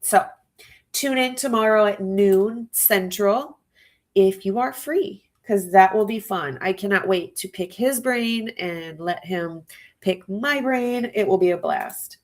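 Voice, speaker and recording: Slow-talking, stuffy-nosed woman. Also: medium pitch. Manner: musing aloud, addressing viewers directly. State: stuffy nose. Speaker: true crime livestream host. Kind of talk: casual live-stream commentary.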